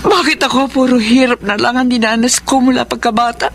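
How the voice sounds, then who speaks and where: A woman playing Choleng, a poor, grieving mother in a radio drama, speaking softly and politely. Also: fairly high pitch, fairly even intonation, brisk, a little hiss or hum, lightly acted. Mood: lamenting. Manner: pleading, sorrowful, polite.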